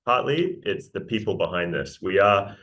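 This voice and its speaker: Deep-voiced New Zealand man. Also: animated, moderate pace, a bit bright. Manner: upbeat, restarts and repeats words. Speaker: mining company CEO. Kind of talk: casual interview pitch.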